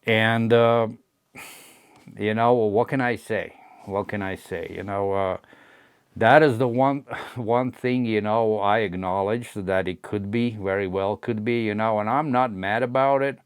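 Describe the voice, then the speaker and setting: A man's voice: fairly low pitch, fairly even intonation, measured pace, clean tone, no echo, clean studio sound. A middle-aged man from Latvia with a Latvian accent, talking frankly to his channel's viewers in a rambling way full of fillers. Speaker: channel host. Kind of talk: casual personal talk to camera.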